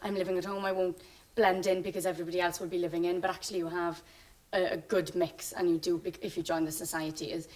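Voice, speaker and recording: A woman's voice: on the low side, little pitch movement, fast, few pauses, far from the mic, low-quality recording. A young woman with a Welsh accent, a native Welsh speaker, chatting casually as a student guest and tending to ramble. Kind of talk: casual interview answers.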